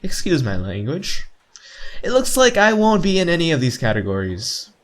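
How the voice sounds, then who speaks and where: A man's voice: fairly high pitch, huge pitch swings, moderate pace. A man reading visual novel narration aloud with playful, casual asides. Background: game music.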